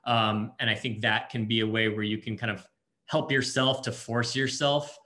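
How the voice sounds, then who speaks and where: Bright-voiced, earnest man. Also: mid-range voice, steady tone, moderate pace. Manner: earnest, gentle, frequent fillers. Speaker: conference session co-presenter. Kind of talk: casual conference Q&A answers.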